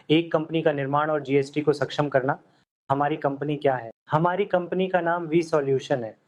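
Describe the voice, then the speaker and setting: A man teaching accounting software step by step, in steady instructional tones over a screen recording. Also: on the high side. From India. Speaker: software tutor. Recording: dull lo-fi audio.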